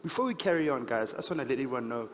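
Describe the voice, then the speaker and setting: A man's voice: medium pitch, expressive, rapid-fire. A man with a lisp hosting an awards ceremony in a playful, teasing, informal style. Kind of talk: informal, joking stage hosting. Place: large event hall, on stage. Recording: echoey hall, noticeable background noise.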